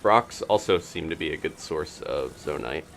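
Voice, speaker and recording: Deep-voiced man casually commentating a game. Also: expressive, normal speed, creaky, a bit bright, slight background noise. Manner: thinking aloud, playful reactions to the game. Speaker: gaming live streamer. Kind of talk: casual live gameplay commentary.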